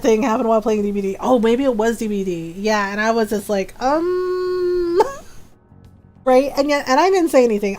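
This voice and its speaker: A woman's voice: medium pitch, darkish tone. A woman in her forties chatting casually with her stream viewers and laughing often as she tells a story. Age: 40s.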